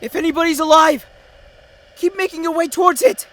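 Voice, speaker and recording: A man's voice: extremely high pitch, steady tone, a little fast, a bit bright. A man playing an armed ex-police officer leading survivors through a jungle in an audio drama, urgent and commanding. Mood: shouting, urgent.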